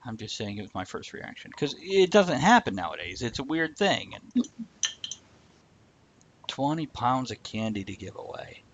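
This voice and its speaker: A man's voice: mid-range voice, very animated, normal speed. A man hosting a live show in casual, irreverent chat, swearing freely as he goes. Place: room, live stream. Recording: muffled, with clear background noise.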